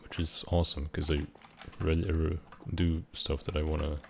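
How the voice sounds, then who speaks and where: A young man of about nineteen from Switzerland, rambling unscripted in a halting, meandering way while he plays a game, with casual swearing. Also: extremely low pitch, level tone, gravelly, darkish tone, slight background noise. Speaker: gaming vlogger.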